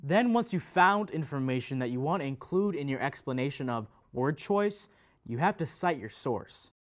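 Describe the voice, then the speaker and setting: A pedantic male teacher acted by a man with a fairly high, very animated voice. He stresses key words emphatically and explains in a didactic manner, as in a scripted educational play. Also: moderate pace, natural voice. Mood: instructive. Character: pedantic male teacher patiently correcting a student. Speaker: readers theater performer. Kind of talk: scripted instructional stage dialogue.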